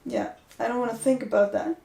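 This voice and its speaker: A woman's voice: a little high, steady tone, a little fast, gravelly, warm tone. Young woman podcaster in an echoey room. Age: 20s. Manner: talks in restarts, earnest and opinionated. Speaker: podcast co-host. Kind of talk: casual discussion. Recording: roomy echo.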